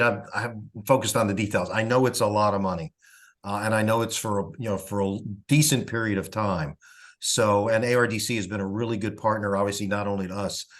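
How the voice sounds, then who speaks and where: A man's voice: on the low side, a bit bright. An American man talking informally in a meeting, hesitant and rambling as he goes. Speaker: organization representative in meeting. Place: online video or teleconference.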